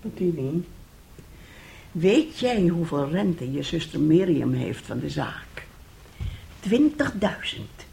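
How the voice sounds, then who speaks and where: A woman's voice: low-pitched, very animated, slow pace, creaky, pauses often, dark tone, full character voice. A middle-aged schemer of a wife in a radio play. She is impatient and calculating, pressing each point with a needling edge. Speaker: actor. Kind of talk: radio drama acting.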